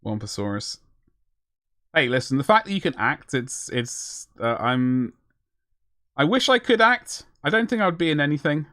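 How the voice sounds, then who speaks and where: A man's voice: a little high, big pitch swings, moderate pace, bright, forceful tone, dry recording, studio quality. A man chatting casually on a live stream as he paints miniatures, rambling and self-deprecating as he answers his chat.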